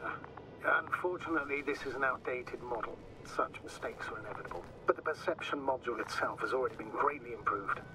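An actor playing a cautious, formal space mission commander in a video game, talking over a radio with restraint. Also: male voice, mid-range voice, brisk. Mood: formal, measured. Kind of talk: naturalistic video game voice acting. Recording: distant radio voice in loud noise.

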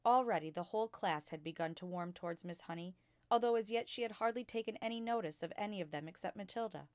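A woman's voice: medium pitch, fast, no room sound. Woman reading a children's book aloud, close and dry. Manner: clear, expressive storytelling with lifted dialogue. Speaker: storytime reader.

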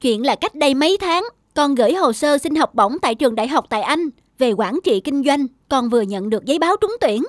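A woman voicing a polite, devoted and determined young wife in an animated moral drama. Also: high voice, a little fast, few pauses, no room sound, studio quality. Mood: cheerful, earnest. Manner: polite, earnest.

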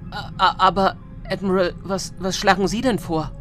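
A man's voice: sits high, fairly even intonation, normal speed, sharp tone, soft background music, pitched above the performer's own voice. A man playing an unsure but eager fifteen-year-old ruler in a sci-fi audio drama. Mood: hesitant.

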